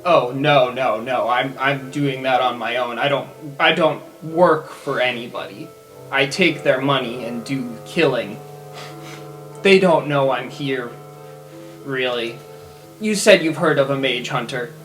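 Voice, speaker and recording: A man playing a laconic, guarded mercenary assassin in a tabletop game, with a deadpan manner. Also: medium pitch, measured pace, faint music behind, natural voice. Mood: defensive, matter-of-fact. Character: laconic mercenary assassin who enjoys killing.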